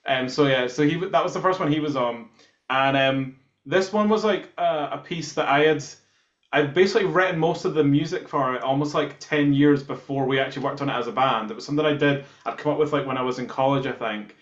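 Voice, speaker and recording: A man's voice: on the high side, a little fast. A man from Scotland, a musician in a band, casually recounting things in an interview. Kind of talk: casual chat, telling how a song was written. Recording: echoey room, slightly dull sound.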